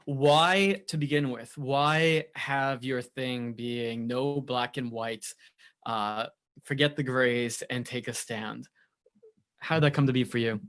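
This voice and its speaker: A man's voice: medium pitch, fairly even intonation, slow pace, fairly bright tone. A man hosting a podcast, introducing his guest and asking questions in an upbeat, encouraging way. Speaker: podcast host. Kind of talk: casual interview hosting.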